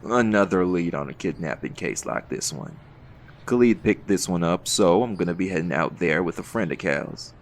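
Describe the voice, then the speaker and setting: Man acting deep-voiced, calm hunt leader. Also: normal speed, a little hiss or hum. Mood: briefing, measured. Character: calm, authoritative male leader of magical vigilante hunters. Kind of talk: audio roleplay acting.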